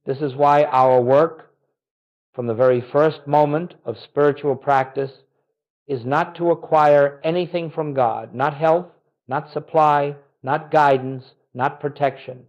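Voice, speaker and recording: A man delivering a scripted guided contemplation as a spiritual teacher, solemn and reverent, with measured, deliberate phrasing. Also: a little high, fairly even intonation, highs cut off.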